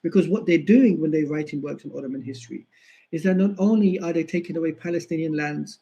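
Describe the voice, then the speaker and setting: Mellow-voiced man lecturing earnestly. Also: on the high side, fast talker. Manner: earnest, emphatic repetition. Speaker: lecturer on history. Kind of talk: explanatory lecture.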